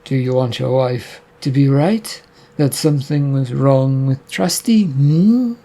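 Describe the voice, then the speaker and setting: Man reading a scheming male demon, taunting, coaxing, gravelly, dark. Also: mid-range voice, slow pace. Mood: coaxing sly.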